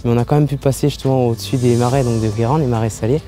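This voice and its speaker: A young man from French Guiana, a pilot speaking earnestly in an interview, with pauses and fillers. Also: medium pitch, steady tone, over music. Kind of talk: interview testimony.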